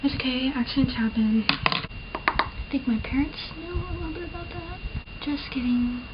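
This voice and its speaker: A young woman talks through her makeup at home in a chatty, rambling way, often joking at her own expense. Her voice sits a little high and carries a slight lisp. The built-in camera mic sounds dull and muffled, with a clear layer of hiss under everything. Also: normal speed. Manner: chatty, self-deprecating, rambling with fillers. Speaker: beauty vlogger. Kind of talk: casual makeup tutorial chat.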